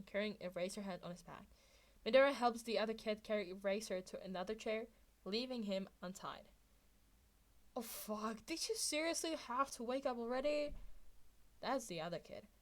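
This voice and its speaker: A woman narrating fan fiction as an amateur podfic reader. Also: mid-range voice.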